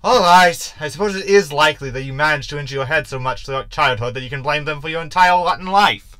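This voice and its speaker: A man's voice: on the high side, quick pace, pushed and bright, smooth, no echo. A man playing a cocky, sarcastic shapeshifting ship's hologram in a science fiction comedy audio drama, with wordy delivery. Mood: exasperated.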